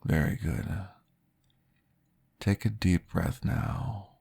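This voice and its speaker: A courteous vampire therapist played by a man speaks in a very low, husky voice with a gravelly edge. He guides slowly and soothingly, with formal, gentle phrasing, in the manner of an ASMR roleplay. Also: heavily acted. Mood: calm, guiding. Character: calm, courteous male vampire therapist guiding a patient.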